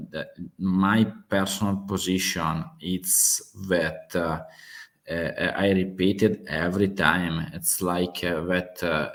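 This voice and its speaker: A man's voice: sits low, a bit bright. A man from Italy with a strong Italian accent, hosting a casual live chat about world affairs. He speaks in English with many fillers and restarts. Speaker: host of live geopolitics chat. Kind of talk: casual live-stream talk.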